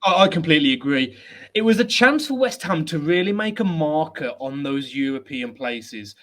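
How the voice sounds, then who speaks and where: A man hosting a football chat show, chatty, energetic and self-deprecating, speaking without a headset at a laptop setup. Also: fairly high pitch, moderate pace. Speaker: host.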